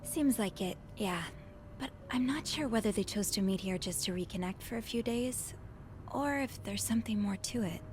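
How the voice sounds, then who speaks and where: An actress playing an earnest, curious young hotel maid in a mystery video game, speaking thoughtfully and politely in casual conversation. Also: female voice, mid-range voice, moderate pace, darkish tone, a little hiss or hum, lightly acted. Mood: curious, hesitant.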